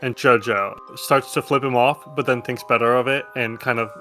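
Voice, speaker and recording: A man's voice: mid-range voice, fairly even intonation, normal speed, bright, forceful tone, soft background music. A man acting as game master, narrating a tabletop role-playing game and talking its players through the rules.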